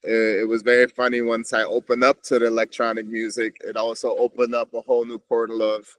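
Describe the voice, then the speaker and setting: A man's voice: medium pitch, monotone, brisk, fairly bright tone. A man from Switzerland, a podcast guest reflecting in a meandering, casual way with frequent restarts. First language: Swiss German. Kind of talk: casual conversation.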